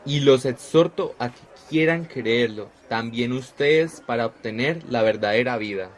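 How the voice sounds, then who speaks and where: A devout young disciple, voiced by a man who speaks with fervent sincerity in a mid-pitched, even voice. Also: measured pace, close to an everyday voice.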